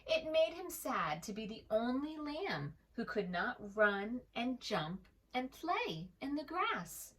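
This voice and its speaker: A woman telling a children's story in an expressive, gentle manner, as a read-aloud for preschoolers. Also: fairly high pitch, slow pace, few pauses, recorded from a distance. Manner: expressive, gentle, asks the listener questions.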